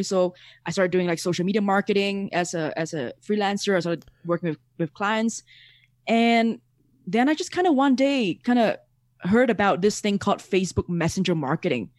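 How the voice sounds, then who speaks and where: A man in his thirties from Singapore with a Singaporean accent, chatting casually as a podcast guest over a video call, animated and quick to laugh. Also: high voice, no room sound, studio quality. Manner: animated, laughs often, repeats words. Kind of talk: casual interview chat.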